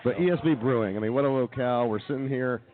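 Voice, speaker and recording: A man with a medium-pitched voice hosts a live comedy talk show from a tasting room. His sound is narrow, like a phone line. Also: fast talker. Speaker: radio show host. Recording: thin, band-limited radio stream.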